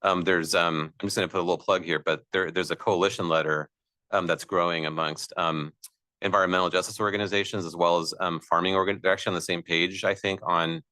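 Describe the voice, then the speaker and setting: A man with a naturally loud voice, an agency official speaking at length at a public meeting, with frequent fillers and restarts. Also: low-pitched, little pitch movement, fast, no echo. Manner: expansive, talks at length with many fillers. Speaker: air district official.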